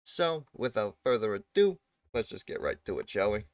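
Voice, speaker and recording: A slightly raspy, under-the-weather man hosts a casual game stream, greeting his viewers with formal courtesy and frequent chuckles. His voice sits low but swings widely in pitch. The sound is dry and close. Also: sing-song, normal speed, dry recording. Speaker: livestream host. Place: at home.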